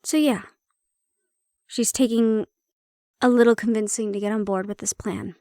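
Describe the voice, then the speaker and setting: A woman playing a prickly but caring handler in an intimate audio roleplay, speaking in a measured, probing way. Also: on the high side, steady tone, warm tone, no echo, studio quality, close to an everyday voice. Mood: candid, wry. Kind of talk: intimate audio roleplay acting, one-sided conversation.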